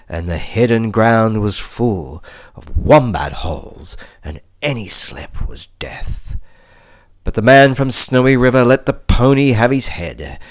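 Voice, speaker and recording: A man with an Australian accent reciting a bush ballad theatrically, with emphatic swells and hushed line endings. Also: fairly low pitch. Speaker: poetry reader.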